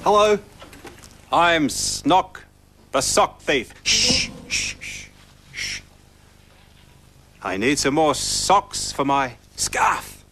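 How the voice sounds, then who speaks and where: A sneaky, giggly little sock-thief creature from a children's show. The voice is fairly high and swoops about playfully in a slow, repetitive sing-song. It is timid and mischievous, with music clearly playing behind it. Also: male voice, very animated, heavily acted. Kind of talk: children's programme character acting.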